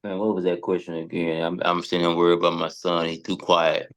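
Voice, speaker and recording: Deep voiced dude on a podcast, casual, blunt, keeps checking you get what he means. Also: flat delivery, brisk, a bit bright. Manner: plain-spoken, blunt, checks in with listener. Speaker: podcast guest, comedian and producer. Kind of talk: casual chat.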